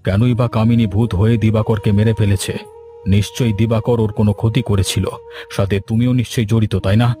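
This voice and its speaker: A man playing a stern, sensible village gentleman in a horror cartoon, speaking in a measured, admonishing way. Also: on the low side, steady tone, lightly acted. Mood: shocked disapproval. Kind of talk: Bengali horror cartoon dubbing. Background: music.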